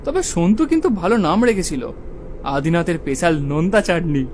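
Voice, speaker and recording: A man voicing a jolly, teasing young male friend in a cartoon, playful and quick to laugh. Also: fairly high pitch, sing-song, a little mellow, slight background noise. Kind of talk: cartoon voice acting.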